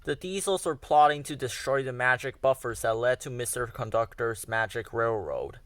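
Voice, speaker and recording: Brief: expressive male read-aloud storyteller, dry close mic. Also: medium pitch, normal speed, no echo. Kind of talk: children's book read-aloud.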